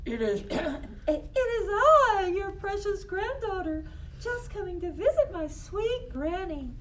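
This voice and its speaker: A woman's voice: very high voice, very animated, slow pace, full character voice. A woman voicing a sly, hungry big bad wolf in a children's storybook, coaxing with exaggerated false sweetness. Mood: falsely sweet. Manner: exaggerated coaxing, theatrical drawl. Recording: noisy room, distant microphone.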